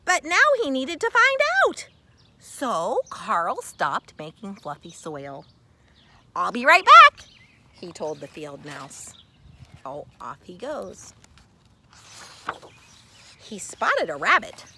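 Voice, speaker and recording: A woman reading a children's story aloud expressively, with theatrical, deliberate phrasing and dramatic pauses. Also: on the low side, huge pitch swings, noisy background. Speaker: storybook reader.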